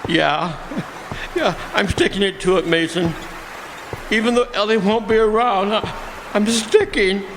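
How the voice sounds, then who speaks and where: A man's voice: high-pitched, measured pace, long pauses, fairly bright tone, slight background noise. An actor playing a grief-stricken, embittered night driver in a radio drama, stammering and repeating his words. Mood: astonished, emotional. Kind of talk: stage radio drama acting. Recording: live stage performance, long hall reverb.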